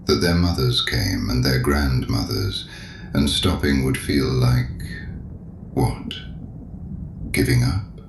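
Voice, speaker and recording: A man in an audio drama playing a sinister, ancient, knowing penitent, courteous and sardonic, with a very deep gravelly voice. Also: lively intonation, soft background music, heavily acted. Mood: cynical, probing. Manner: deliberate, probing, sardonic, urbane. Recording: echoey room with audible background noise.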